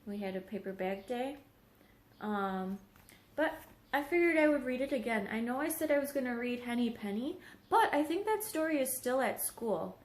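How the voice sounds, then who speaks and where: A woman's voice: fairly high pitch, lively intonation, moderate pace. A woman teacher reading a story aloud to young children, explaining things simply and checking in with questions. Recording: roomy, echoing home recording.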